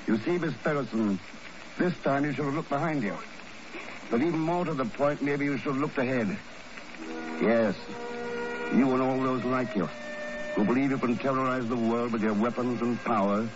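A man's voice: mid-range voice, natural voice. A man playing a cool, resolute secret agent in a 1950 radio drama, composed, clipped and authoritative. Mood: stern, resolute. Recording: muffled, noisy old radio broadcast.

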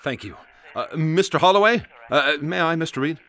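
Radio drama professor: eager yet hesitant, courteous, animated, forceful tone. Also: male voice, on the high side, fairly quick. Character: polite, hesitant but eager male university professor.

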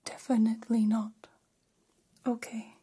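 A woman voicing a gentle, curious female alien in an ASMR roleplay, whispering softly in formal, halting, literal phrases. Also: fairly high pitch, flat delivery. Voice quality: soft, breathy whisper. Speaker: ASMR performer.

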